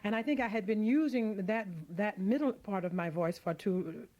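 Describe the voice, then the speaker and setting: The voice is a middle-aged woman's, set at a middle pitch. She is an opera singer talking on a radio interview, blunt and emphatic, and she often restarts her sentences as she works her thoughts out. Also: moderate pace, darkish tone, highs cut off. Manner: frank and emphatic, thinks aloud with many restarts.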